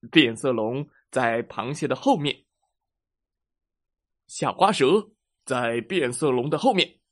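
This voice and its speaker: A man voicing a grumpy, impatient bear teacher in a children's story, scolding and sighing at his students. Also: medium pitch.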